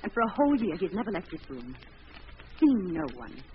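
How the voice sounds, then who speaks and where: Casting: actress as devoted, pleading young woman, very expressive, halting, dull vintage radio drama sound. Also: mid-range voice, close to an everyday voice. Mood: troubled. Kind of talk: 1940s radio melodrama acting. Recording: dull, hissy old radio recording.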